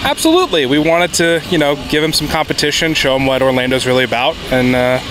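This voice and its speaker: A man's voice: fairly high pitch, expressive, fast. A man fan speaking confidently in a casual street interview. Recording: outdoor traffic noise.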